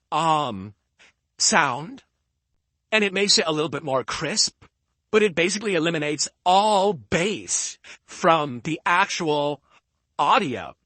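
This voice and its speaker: A middle-aged man talks into a desk microphone at home, ranting and swearing freely. His voice is bright and somewhat husky, pitched a little high, and it sounds dry and close. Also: dry recording. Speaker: streamer. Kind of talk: casual stream talk and rant. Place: home room with window and fans. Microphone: desk microphone at normal distance.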